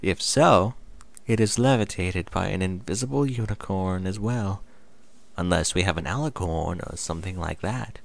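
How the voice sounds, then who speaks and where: A man's voice: deep voice, normal speed, vocal fry. A man voicing a bashful, puzzled female pony in a read-aloud story, tentative and questioning. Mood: agreeable.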